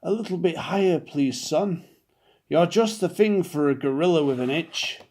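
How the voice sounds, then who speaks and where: A man's voice: a little high, moderate pace, darkish tone, pitched above the performer's own voice, natural voice. A man voicing a big, itchy, grumbling gorilla father in a children's storybook, with emphatic delivery. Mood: groaning relief.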